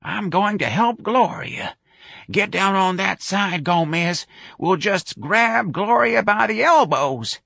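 A man's voice: sits high, animated, slow, creaky, bright, forceful tone, slightly rough, dry recording, studio quality, voice pushed up, heavily acted. A man voicing a feeble, confused, stubborn old woman with a tight-lipped voice in an audiobook. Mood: stubbornly helpful.

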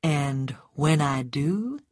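A woman voicing a mocking, self-assured man in an audiobook story, blunt, deliberate and teasing. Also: low-pitched, lively intonation, a little mellow, no room sound, clean studio sound, heavily acted. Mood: amused, teasing.

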